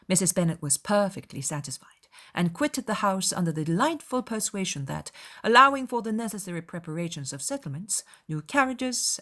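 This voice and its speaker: A woman narrates a dramatized literary audiobook. Her voice sits a little low. She tells the story in formal, measured phrases.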